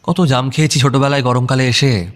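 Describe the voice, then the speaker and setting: A man's voice: mid-range voice, fairly even intonation, brisk, lightly acted. An actor playing a breezy, boastful young man in a casual, slangy audio drama. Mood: explanatory. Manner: slangy, offhand. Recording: music bed under voice.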